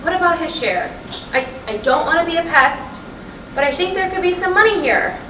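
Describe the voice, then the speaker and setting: A woman acting a restless, sharp-tongued middle-aged wife in a staged reading of a play, expressive and pointed. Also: extremely high pitch, normal speed. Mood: indignant. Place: indoor live reading at a college. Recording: echoey room from far off, noisy.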